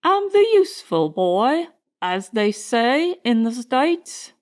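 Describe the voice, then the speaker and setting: A woman's voice: on the high side, very animated, slow pace. A woman narrator playing a cheeky, exuberant teenage servant boy with a Cockney accent and a theatrical, impudent manner in a storybook. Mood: eager.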